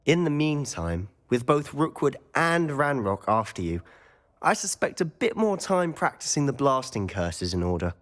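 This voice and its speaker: A man's voice: mid-range voice, sing-song, moderate pace, natural voice. A young man playing a confident, inquisitive teenage wizard schoolboy in a video game, eager and probing in conversation. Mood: confiding. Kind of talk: dramatic video game dialogue. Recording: long reverb with music behind. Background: music.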